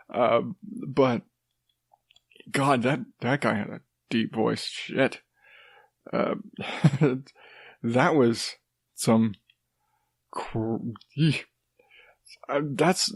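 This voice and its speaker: Rambling deep-voiced man hosting a podcast. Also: dry recording, clean studio sound. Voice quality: rather deep voice. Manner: rambling, loose, with swearing and self-interrupting restarts. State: coming down with a cold. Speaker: podcast host. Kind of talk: casual solo podcast talk.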